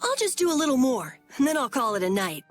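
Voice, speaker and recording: A woman's voice: sits high, very animated, fast, faint music behind. A woman voicing an eager, earnest young boy in a video game. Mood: modest, earnest.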